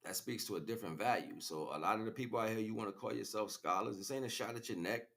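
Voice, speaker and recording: A man's voice: on the low side, fast talker, gravelly, a bit bright. An American man delivering an impromptu spiritual monologue to listeners, preachy and slangy, repeating phrases for emphasis. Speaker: spiritual livestream host.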